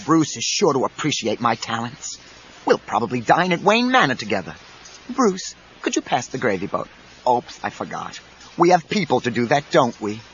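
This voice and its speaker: An actor playing an obsessive, grandiose inventor with an ingratiating manner in a 1990s audio drama. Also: male voice, medium pitch, moderate pace. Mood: smug daydreaming. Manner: over-excited, ingratiating, grandiose. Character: eager, obsessive, fawning male inventor, nursing resentment. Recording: dull cassette, faint noise.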